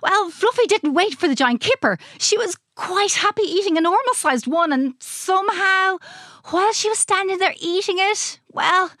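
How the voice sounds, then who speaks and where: A woman voicing an eccentric old male scientist in a children's story, animated, stammering and exclamatory. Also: very high-pitched, normal speed, dry recording, clean studio sound, voice pushed up, heavily acted. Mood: flustered.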